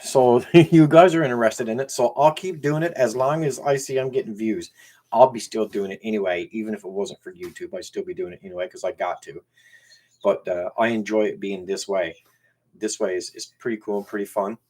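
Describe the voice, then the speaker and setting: A man with a strong Newfoundland accent, hosting a casual live stream and chatting in a halting way, often repeating words and restarting. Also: fairly low pitch, darkish tone. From Newfoundland, Canada. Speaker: YouTube channel host. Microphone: camera used as webcam.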